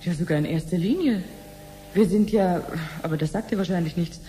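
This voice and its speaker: An actress playing an obliging clinic researcher in a 1970s radio play, explaining things patiently and conversationally. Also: female voice, on the low side, brisk, mellow, faint music behind, lightly acted. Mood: matter-of-fact, explaining. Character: chatty, obliging female clinic researcher showing her sister around.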